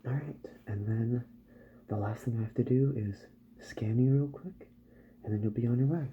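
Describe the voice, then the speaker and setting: A man doing a soft-spoken ASMR roleplay as a calm, polite doctor who repairs robots, murmuring gently and often dropping into a whisper. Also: mid-range voice, phone-quality sound, slight background noise. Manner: gentle, slow, murmured reassurance to the listener.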